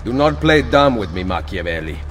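A man's voice: lowish voice, big pitch swings, brisk. An actor with an Italian accent playing a stern, dry-witted assassin nobleman in a video game cutscene. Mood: sardonic. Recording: game soundtrack behind voice.

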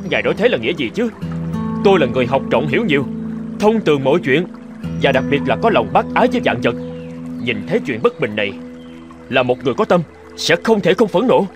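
An actor playing a self-righteous young scholar in a cartoon folk tale, pompous and argumentative. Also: male voice, on the high side, very animated, fast talker, over music. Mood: offended, pompous. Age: young adult. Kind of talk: animated folk tale voice acting.

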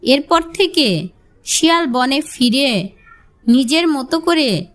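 A woman's voice: sits high, expressive, slow, fairly bright tone. A woman storyteller narrating a scripted fable for children.

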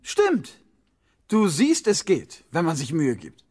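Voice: medium pitch, wildly swooping pitch, a little mellow, slightly rough, exaggerated voice. An actor playing a stern but encouraging schoolteacher who speaks instructively in a 1970s radio play. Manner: deliberate, instructive.

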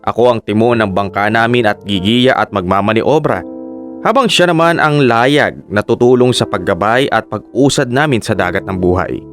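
A man's voice: on the low side, normal speed, a bit bright, close to an everyday voice. A Filipino man playing a fisherman husband, narrating his life story in an earnest, sentimental radio drama style. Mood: grateful, resolute. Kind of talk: scripted first-person radio drama narration. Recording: piano music bed behind the voice.

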